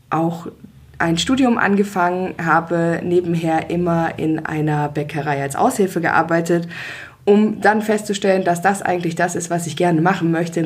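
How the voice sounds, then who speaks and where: A woman in her thirties hosting a personal podcast, talking in a relaxed way with hesitant fillers. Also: lowish voice. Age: 30s. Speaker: podcast host.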